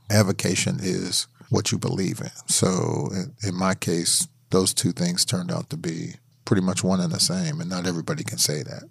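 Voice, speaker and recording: A middle-aged man from Pittsburgh, telling his life story in a measured, thoughtful interview. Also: low voice, dry recording, clean studio sound. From Pittsburgh, USA. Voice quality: gravelly. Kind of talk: reflective oral history interview.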